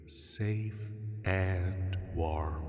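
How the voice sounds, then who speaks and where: Man voicing a deep, slow dragon in cavernous reverb. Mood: soothing. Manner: unhurried, hushed, soothing, lordly formal phrasing. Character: huge ancient male dragon, calm, protective, gently possessive. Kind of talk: slow soothing sleep-aid audio roleplay.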